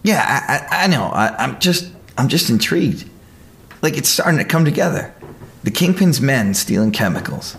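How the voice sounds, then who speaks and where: An actor playing an earnest, awkward young superhero in an audio drama, with a halting, stammering delivery. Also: male voice, mid-range voice, sing-song, creaky, fairly bright tone. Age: young adult.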